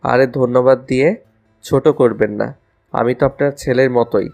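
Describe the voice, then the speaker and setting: A man's voice: medium pitch, fairly even intonation, moderate pace, creaky, a little mellow, soft background music, close to an everyday voice. A man playing a cheeky, lovestruck college student with a flippant, teasing way of talking in an audio love story. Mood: polite.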